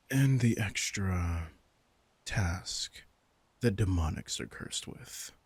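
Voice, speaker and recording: A man's voice: sits low, husky, dry recording, heavily acted. A man playing a commanding, teasing vampire king in a roleplay audio drama, smug and casually menacing as he speaks straight to the listener.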